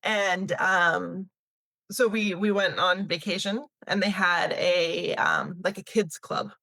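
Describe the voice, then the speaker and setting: A chatty woman in her thirties, raised in Canada, speaks at the lower end of women's pitch. She is recorded close and dry, with no room sound. She talks easily, with lots of "um"s and restarts. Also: fairly even intonation, takes it slowly, pushed and bright, no room sound, poor audio. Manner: chatty, many fillers and restarts, laughs lightly. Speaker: talent agency CEO. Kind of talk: casual interview answers.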